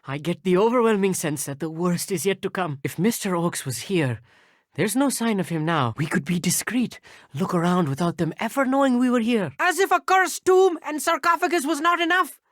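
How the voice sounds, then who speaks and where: An eager teenage schoolboy wizard for a video game. His pitch swings in an animated way and the sound is close and dry. He speaks formally and precisely, a nervous bookworm who likes to boast a little. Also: moderate pace, no echo. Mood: nervous, alarmed. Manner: articulate, formal, slightly pompous. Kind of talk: video game character dialogue.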